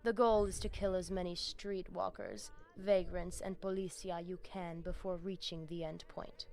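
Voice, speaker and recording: A woman's voice: fairly low pitch, steady tone, normal speed, darkish tone, natural voice. An actress playing a sly, seductive female vampire pack leader in a video game, with a Latin American Spanish accent and a taunting, theatrical manner. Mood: enticing, conspiratorial.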